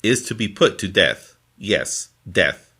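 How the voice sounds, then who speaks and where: A man reading scripture from a formal translation in a deliberate, phrase-by-phrase manner. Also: low voice, sing-song, bright, forceful tone. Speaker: scripture reader.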